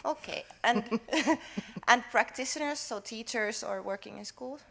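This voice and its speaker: A woman's voice: on the high side, unhurried, creaky, pushed and bright. Researcher, woman, conference workshop talk, friendly and full of fillers. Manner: friendly, loose, with frequent fillers and restarts. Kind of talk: workshop presentation. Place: conference room.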